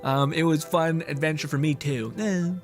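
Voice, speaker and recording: A man giving casual, sweary live commentary on a game as a streamer, animated and mock-indignant while chatting with viewers. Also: fairly high pitch, moderate pace. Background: game music.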